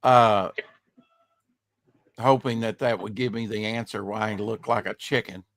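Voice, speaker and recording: Rambling slightly raspy male host. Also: lowish voice, steady tone, measured pace, background noise. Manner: rambling, filler-heavy, trails off. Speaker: podcast host. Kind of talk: casual chat.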